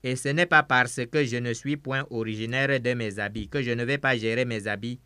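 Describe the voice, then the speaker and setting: Bright, crisp man preaching. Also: mid-range voice, steady tone, moderate pace, no echo. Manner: emphatic, repeats each phrase several times. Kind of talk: sermon with interpreter.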